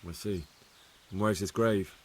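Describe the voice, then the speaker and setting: A man's voice: lowish voice, a little slow, darkish tone, slight background noise, close to an everyday voice. An actor playing a young male squire in a video game, asking plain, direct questions. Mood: questioning. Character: young male hero Henry, dutiful squire. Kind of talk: video game cutscene acting.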